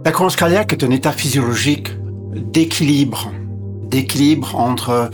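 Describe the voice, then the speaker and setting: A man whose first language is English, speaking as a physician guest and explaining step by step in a measured way that lists and repeats points. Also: medium pitch, faint music behind. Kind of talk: explanatory interview talk.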